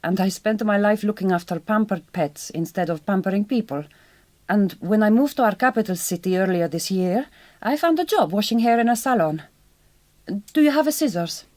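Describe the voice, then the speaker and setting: An actress playing a direct, kind but quietly wounded Hungarian migrant farm worker in a radio drama, with a Hungarian accent and careful, reassuring speech. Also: female voice, mid-range voice, animated, normal speed, dry recording, lightly acted. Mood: eager, reassuring.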